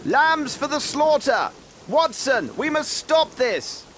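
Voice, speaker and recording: An actor playing an urgent, resolute gentleman detective in a video game, in a raised, declamatory voice. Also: very high-pitched, very animated, takes it slowly, slight background noise, full character voice. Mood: urgent, grim.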